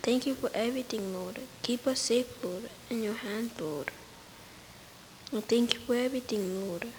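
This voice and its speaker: Female, high-pitched, praying aloud in short repetitive phrases, light hiss on the recording. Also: normal speed, a little mellow, poor audio. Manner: repeatedly punctuates phrases with an address. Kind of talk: spoken extempore prayer. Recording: faint background hiss.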